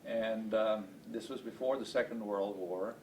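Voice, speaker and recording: A man in his late seventies from Estonia, a native Estonian speaker, recalling his life in an oral history interview in a halting, deliberate way with many hesitations. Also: steady tone, a little mellow. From Estonia, later Baltimore, USA. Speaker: interview guest, space program veteran. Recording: distant mic, noisy rumbling background.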